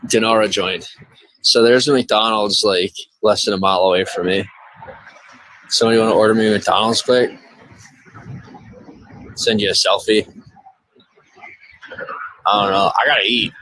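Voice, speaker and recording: A man's voice: lowish voice, moderate pace, long pauses, low-quality recording. Man reading chat joins under a bridge. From USA. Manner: reads out viewer joins in a flat running list. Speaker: livestreamer. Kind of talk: live stream chat reading. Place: outdoors under a highway bridge.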